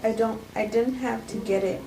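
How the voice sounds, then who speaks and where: A woman reads as a self-justifying woman who calmly confesses to poisoning. Her delivery is halting, like a cold script read. She sounds distant in an echoey room, with clear noise behind her. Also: a little high. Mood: matter-of-fact, bitter. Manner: halting, stumbling amateur reading. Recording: echoey room, distant mic, noisy background.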